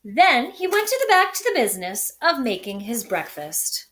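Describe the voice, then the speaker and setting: A woman's voice: fairly high pitch, very animated, normal speed, a bit bright, slight background noise. A woman reading a picture book aloud expressively, speaking to young listeners with dramatic emphasis on words. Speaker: storybook reader for children. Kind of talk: expressive children's read-aloud.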